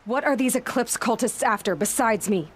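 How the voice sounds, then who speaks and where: A woman's voice: a little high, animated, normal speed, a little hiss or hum, natural voice. An actress voicing a determined young woman hunter who asks direct, probing questions, in a video game.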